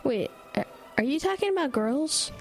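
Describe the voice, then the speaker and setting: A woman's voice: sits high, expressive, moderate pace, vocal fry, faint music behind. An actress voicing an eager young boy who loves horror films and sounds whiny and earnest. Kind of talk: audio drama acting.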